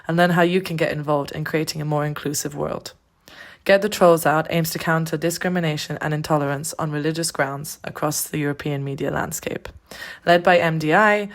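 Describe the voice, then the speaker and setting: A woman giving a polished scripted opening for a podcast series. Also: low-pitched. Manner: polished, even announcer read. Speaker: series announcer. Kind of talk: scripted narration.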